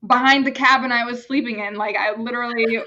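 A woman's voice: a little high, steady tone, fast, bright, forceful tone, lo-fi sound. A young woman from Germany, an actress and stunt performer, chatting casually as an interview guest, often restarting her phrases.

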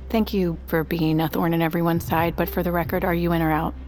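A firm, pragmatic female leader in a survival audio drama played by an actress. Her voice is lowish and directive, set over clearly audible music. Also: little pitch movement, brisk, darkish tone, close to an everyday voice. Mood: firm, dry. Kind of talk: audio fiction acting.

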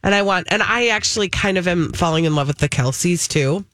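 A woman's voice: on the low side, a little fast, a bit bright, no echo, studio quality. An American woman co-hosting a radio show, telling stories in a casual, chatty and emphatic way. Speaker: fill-in radio co-host.

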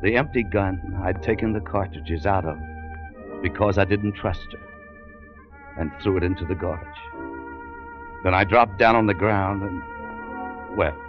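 A man's voice: deep voice, measured pace, long pauses, music in the background. An actor playing a hard-bitten, distrustful American adventurer, telling his story tersely and dramatically in a 1940s radio drama. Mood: grim, regretful. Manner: terse, world-weary, dramatic. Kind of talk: 1940s radio acting, first-person narration. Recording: dull old radio recording.

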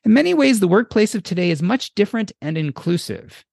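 A middle-aged man hosting a podcast, reading a prepared intro in measured, emphatic phrases before asking his questions. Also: high-pitched, very animated, creaky, a little mellow, dry recording, clean studio sound. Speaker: podcast host. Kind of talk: scripted intro then interview questions.

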